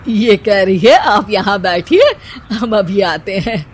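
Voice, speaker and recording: A woman's voice: medium pitch, lively intonation, slow pace, a little hiss or hum. A woman voicing an exasperated, chiding middle-aged mother-in-law in a cartoon story. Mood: scolding. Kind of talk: Hindi cartoon story dialogue.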